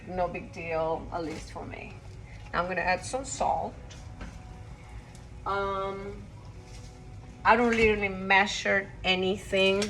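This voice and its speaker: A woman's voice: medium pitch, fairly bright tone, rough recording. Chatty woman from Costa Rica cooking. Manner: chatty and rambling, blunt asides. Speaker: home cook vlogger. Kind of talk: casual cooking vlog. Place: home kitchen.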